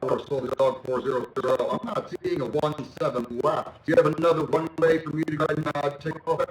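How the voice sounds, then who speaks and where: A man's voice: a little high, fairly even intonation, brisk. A man chatting casually and a bit hesitantly as a pilot over game radio. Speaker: amateur pilot in online flight simulator. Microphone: online voice chat. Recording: roomy, distant voice-chat mic.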